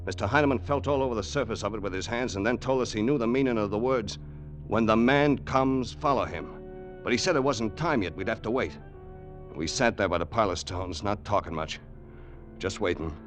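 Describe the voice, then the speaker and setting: An actor playing a loyal, excitable hired gunman with rough grammar in an old-time radio adventure. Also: fairly low pitch, normal speed, lightly acted. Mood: tense narration. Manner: plain-spoken rough grammar, calls his boss "boss". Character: loyal, excitable hired gunman narrating desert treasure hunt. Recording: vintage 1950s radio broadcast with music.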